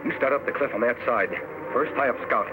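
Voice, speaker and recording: An actor playing a steadfast, commanding western hero in an old radio drama, speaking with earnest, deliberate authority. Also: male voice, mid-range voice, phone-quality sound, natural voice. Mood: commanding, urgent. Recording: noisy old radio recording.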